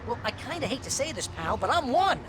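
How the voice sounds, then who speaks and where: An actor playing a needling imaginary best buddy in a game, chatty, sardonic and theatrical. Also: male voice, very high voice, very animated, fairly quick, background noise. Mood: sulky, mocking. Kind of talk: comic video game acting.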